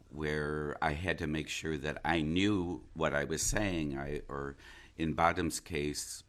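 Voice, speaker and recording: Male interview guest, extremely deep voice. Stage actor reflecting on his craft, pausing to find words. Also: fairly bright tone. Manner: thoughtful, halting, searching for words.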